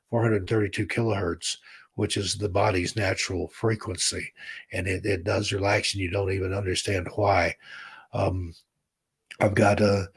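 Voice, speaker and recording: Gravelly, hoarse man chatting with viewers. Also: on the low side. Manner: rambling, unhurried, reads out viewer comments. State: hoarse, losing his voice. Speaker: livestream host. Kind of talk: casual chat with viewers.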